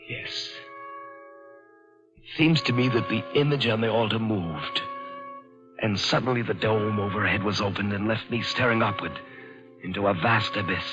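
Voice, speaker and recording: A man's voice: fairly low pitch, natural voice. An actor playing an accused American professor in a 1940s radio drama, pleading and then elated as he solves the case. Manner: bewildered pleading, bursting into excited shouts. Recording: dull old radio broadcast with music.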